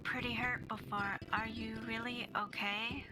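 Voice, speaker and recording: A woman playing a gentle, courteous teenage schoolgirl in a visual novel, composed and politely formal. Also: fairly high pitch, little pitch movement, measured pace, natural voice. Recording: loud music under the voice.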